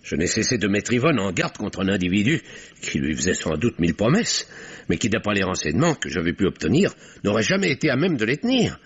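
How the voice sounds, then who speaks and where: An actor playing a pompous, self-righteous middle-aged French uncle in a 1950s-style radio drama, speaking formally and defensively. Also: male voice, lowish voice, very animated, a little fast, slightly rough. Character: pompous, indignant, self-righteous older uncle under questioning. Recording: dull vintage radio recording.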